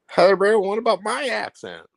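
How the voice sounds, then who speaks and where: A man with an Indiana accent hosting a casual livestream, talking in a rambling, profane, excitable way. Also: high-pitched, normal speed, creaky, no echo, studio quality. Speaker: livestream host.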